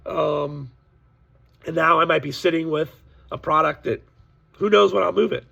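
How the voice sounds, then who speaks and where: A hoarse man talking candidly to his viewers in a casual vlog, complaining about a deal and warning them. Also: fairly high pitch, normal speed, sharp tone, highs cut off. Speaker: sports card seller. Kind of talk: casual direct-to-camera vlog.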